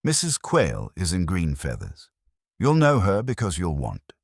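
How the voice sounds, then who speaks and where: A man voicing a resigned, knowing bartender with clipped, deadpan remarks in an audiobook mystery. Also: low voice, normal speed, creaky, husky, dry recording, studio quality, heavily acted. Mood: dry, knowing. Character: dry, resigned male bartender, discreetly gossipy.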